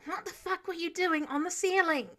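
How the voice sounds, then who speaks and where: Lady doing a freaked out superhero guy, shrill n high, indignant, story reading. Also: moderate pace, fairly bright tone. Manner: shrill, exclaiming. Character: startled, indignant grown man, a loud superhero teammate.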